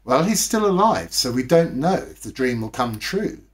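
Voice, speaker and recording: A man voicing a bachelor calmly spinning a tale in a storybook reading, deliberate and matter-of-fact. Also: medium pitch, lightly acted. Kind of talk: storybook character voice.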